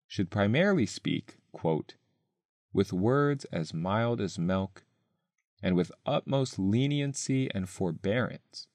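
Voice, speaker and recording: Male article reader, fairly deep, careful scripted delivery, dry studio-style sound. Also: a little mellow, dry recording. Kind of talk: scripted narration, reading an essay aloud.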